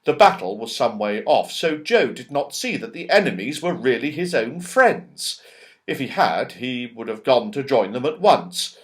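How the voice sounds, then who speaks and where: Animated man reading a children's story. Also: mid-range voice, normal speed. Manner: dramatic emphasis, storyteller's phrasing. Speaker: audiobook narrator. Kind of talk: expressive scripted children's story narration.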